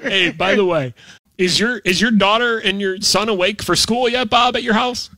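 An American man hosting a comedy show, casually teasing in an animated way and prone to breaking into laughter. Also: sits high, normal speed, dry recording.

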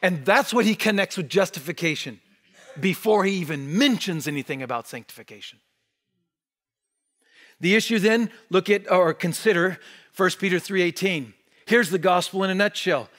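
A man in his forties preaching a sermon from a pulpit, animated and emphatic, dropping to near-whispers on key words. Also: high-pitched, moderate pace. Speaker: pastor. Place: church stage behind a wooden pulpit.

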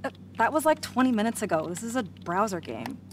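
A woman's voice: a little high, sing-song, a little fast, slight background noise. An actress playing a young female hacker in a video game, slangy, sardonic and matter-of-fact. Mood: frustrated. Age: young adult. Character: dry, casual young female tech hacker. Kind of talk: video game cutscene acting.

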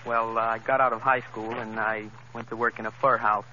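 Fast, bright New man. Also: mid-range voice, flat delivery. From New York. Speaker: amateur contestant being interviewed. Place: radio studio. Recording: dull, noisy vintage 1930s radio broadcast.

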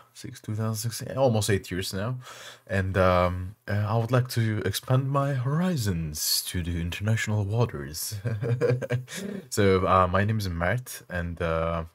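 A young Turkish man with a Turkish accent, speaking English as a second language, hosting casual gameplay commentary with many pauses and fillers. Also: low-pitched. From Turkey. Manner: halting, many fillers and restarts. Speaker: channel host.

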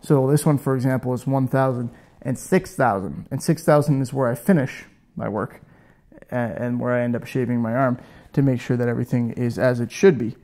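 A man's voice: medium pitch, brisk, a little mellow. A man in his thirties hosting a casual woodworking demo in his garage workshop, chatty and self-joking, on a lapel mic. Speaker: woodworking channel host.

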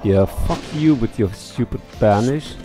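A man's voice: mid-range voice, expressive, a little slow, mellow. A man, sounding middle-aged, giving casual gameplay commentary and reading game text aloud, muttering to himself and swearing casually into a headset mic. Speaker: let's play streamer. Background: faint game music.

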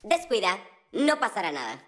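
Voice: mid-range voice, big pitch swings, normal speed, noisy background, close to an everyday voice. An actor voicing a brash, reckless young boy creature in a children's cartoon dub, cocky and impatient. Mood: confident, reckless. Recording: music playing behind the voice.

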